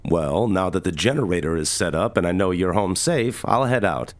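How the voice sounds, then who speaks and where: A man's voice: deep voice, lively intonation, normal speed. A man playing a fun, flamboyant, affectionate middle-aged uncle in a comic dub, jaunty and playful.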